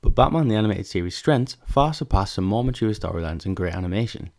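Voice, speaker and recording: A man's voice: fairly low pitch, expressive, fast, gravelly, a little mellow. A man reading scripted narration as the narrator of a video essay.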